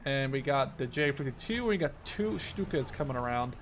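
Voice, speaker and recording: A man's voice: mid-range voice, animated, normal speed, a little hiss or hum. A man in his twenties giving casual gameplay commentary, thinking aloud informally as he plays. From Netherlands. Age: 20s. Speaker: gaming video host.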